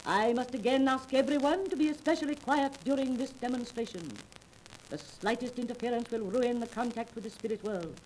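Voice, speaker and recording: An actress playing a commanding, mysterious fake spirit medium, grandiose and solemn, in a 1930s radio drama recording. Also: female voice, on the high side, brisk, a little mellow, slight background noise, close to an everyday voice, low-quality recording. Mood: solemn, ceremonious.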